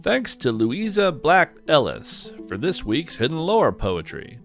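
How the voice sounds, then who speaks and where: A man's voice: fairly high pitch, huge pitch swings, measured pace, creaky, fairly bright tone, faint music behind. A man hosting a podcast, reading scripted thanks and acknowledgements. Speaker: podcast host.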